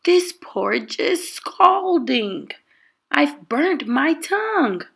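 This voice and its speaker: A woman's voice: sits high, big pitch swings, very slow, vocal fry, pitched above the performer's own voice, exaggerated voice. A woman voicing a big old grumpy papa bear in a storybook, exclaiming emphatically in outrage.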